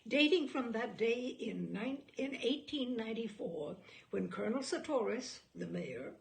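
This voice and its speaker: A woman reading a short story aloud in an expressive literary narration, with deliberate, dramatic phrasing and pauses. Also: fairly high pitch, vocal fry.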